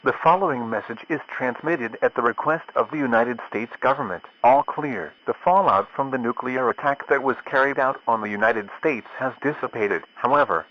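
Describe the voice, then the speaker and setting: A man's voice: mid-range voice, moderate pace, few pauses. A man reading a formal, impersonal scripted emergency broadcast announcement. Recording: dull lo-fi radio-style sound.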